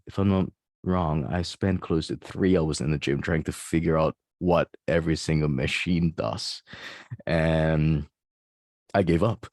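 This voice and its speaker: A man with a deep, resonant, bassy voice, a fitness coach telling his story in an interview. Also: darkish tone, dry recording, studio quality. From Malaysia.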